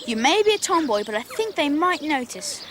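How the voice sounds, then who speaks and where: Cocky Victorian schoolboy: high, very animated English voice, breezy teasing, radio drama. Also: female voice, moderate pace, a little hiss or hum. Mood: breezy, mocking. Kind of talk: 1990s British radio drama acting.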